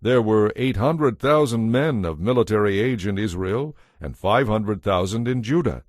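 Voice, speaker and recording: A man's voice: on the low side, animated, normal speed, no echo, studio quality. A man giving a scripted, dramatized narration of scripture as the narrator.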